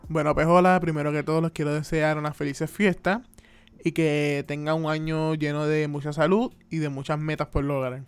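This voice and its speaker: A man's voice: a little high, flat delivery, normal speed, clean tone, faint music behind. A man in his twenties with a Puerto Rican accent, hosting a casual solo podcast and chatting loosely, with lots of "este" and "pues" fillers. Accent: Puerto Rican Spanish. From Puerto Rico. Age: 20s. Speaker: podcast host.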